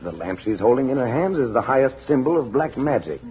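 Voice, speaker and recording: An actor playing a firm, authoritative adventurer uncle in a 1940s radio drama. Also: male voice, medium pitch, sing-song, breathy edge. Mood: stern. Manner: authoritative, brisk reassurance. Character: firm, commanding uncle, heroic skeptical occult adventurer. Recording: muffled, noisy old radio recording.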